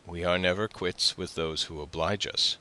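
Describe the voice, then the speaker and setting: A man's voice: sits low, fairly even intonation, moderate pace, sharp tone. A man voicing an eager, generous young sailor in an audiobook, speaking earnestly and with animation. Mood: polite, cool.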